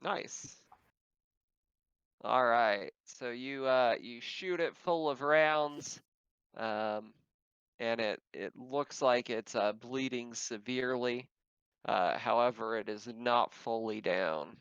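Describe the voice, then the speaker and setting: A man serves as game master for a tabletop roleplaying game. His voice is smooth and unhurried, with halting, casual narration. The recording is close and dry but a little dull. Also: mid-range voice, a bit bright, dry recording.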